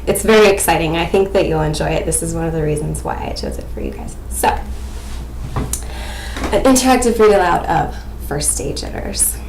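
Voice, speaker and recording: An American woman in her twenties giving an expressive, explanatory lesson presentation as a student teacher. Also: on the low side. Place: small indoor room at home. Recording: echoey room with faint background noise.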